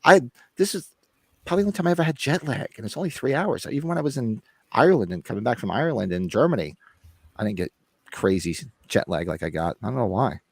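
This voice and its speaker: A man's voice: mid-range voice, animated, quick pace, vocal fry, darkish tone, husky, dry recording. An American man hosting a casual chat, rambling and blunt with some swearing, his voice recovering after being lost. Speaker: podcast host. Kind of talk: casual chat about horror movies.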